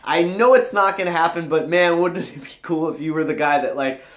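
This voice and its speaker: An upbeat, high-energy man chatting casually as a vlog host, his voice moving animatedly up and down. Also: fairly quick. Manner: animated, high-energy, rambling with swooping register. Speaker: vlogger and host. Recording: echoey room.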